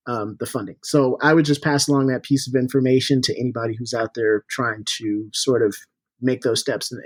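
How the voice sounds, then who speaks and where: A man's voice: medium pitch, no echo. A man giving casual, encouraging interview answers as a podcast guest, with an upbeat and informal manner. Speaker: podcast guest, startup CEO and founder.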